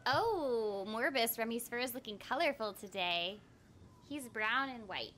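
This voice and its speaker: High-voiced, playful young woman streamer. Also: expressive, a little slow, fairly bright tone. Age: young adult. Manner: playful, giggly, exclamatory. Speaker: game streamer and voice actress. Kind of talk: casual livestream chat. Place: indoor room at home. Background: video game music.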